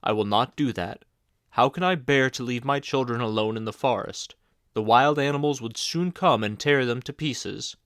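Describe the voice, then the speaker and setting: A man reading aloud as a troubled, gentle woodcutter father who worries over his children. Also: mid-range voice, normal speed, clean tone, no echo, studio quality, lightly acted. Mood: pleading. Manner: troubled, soft-spoken.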